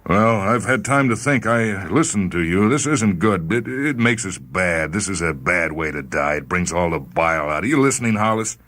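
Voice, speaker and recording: A man voicing an aging, apologetic spaceman who confesses haltingly in a dramatic story reading. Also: sits low, animated, a bit bright, pitched above the performer's own voice. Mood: remorseful, confessing. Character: aging spaceman, remorseful old rival confessing before death.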